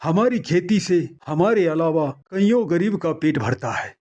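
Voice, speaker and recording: A man's voice: high-pitched, expressive, a little slow, a little mellow, highs cut off. A man voicing a patient but firm older village farmer and father in a moral story. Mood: firm, persuasive. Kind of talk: acted moral-story dialogue.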